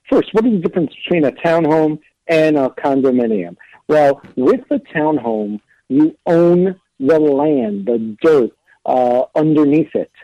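A man speaking as an attorney guest on a radio show, explaining terms in a measured way. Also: fairly high pitch, dark tone, no echo, lo-fi sound.

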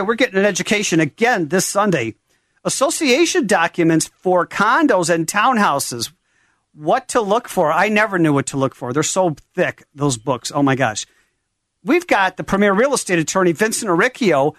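High-voiced guy hosting a sunday radio show, upbeat, dry studio sound. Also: fairly bright tone, no room sound.

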